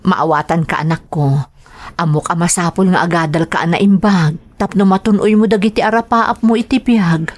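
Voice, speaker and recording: A woman's voice: fairly low pitch, fairly even intonation, moderate pace, lightly acted. A woman with an Ilocano Filipino accent playing a weary, devoted mother in an audio drama. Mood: gentle. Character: weary, devoted widowed mother addressing her child.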